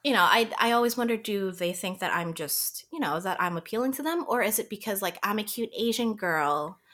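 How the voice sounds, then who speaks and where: A woman's voice: medium pitch, animated, fairly quick, a bit bright. Chatty young woman laughing through stories. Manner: chatty, laughs often, many fillers. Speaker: podcast guest. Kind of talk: casual podcast chat.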